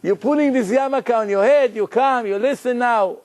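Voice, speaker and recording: A man's voice: extremely high pitch, moderate pace, voice pushed up. A man voicing an indignant Druze man with an Arabic accent who pleads with and scolds a crowd. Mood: scolding. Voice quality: loud, pleading, emphatic.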